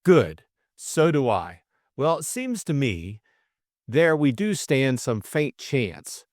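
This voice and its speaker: A man voicing a breezy, reasoning young gentleman sleuth in an audiobook. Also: medium pitch, very animated, slow pace, no echo, clean studio sound. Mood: explaining. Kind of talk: audiobook character dialogue.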